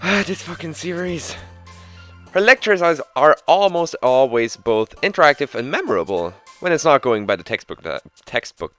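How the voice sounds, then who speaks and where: A man with a cold, hoarse and stuffy-nosed, reading a visual novel aloud and breaking off into chuckling, self-mocking asides. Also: mid-range voice, a bit bright. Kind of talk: reading a game's text aloud with joking asides.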